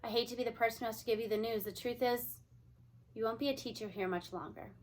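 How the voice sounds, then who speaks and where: A woman's voice: on the high side, fairly even intonation, fast talker, lightly acted. Woman reading as a grave, sympathetic female principal. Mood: grave, regretful. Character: sorrowful, sympathetic female school principal breaking bad news.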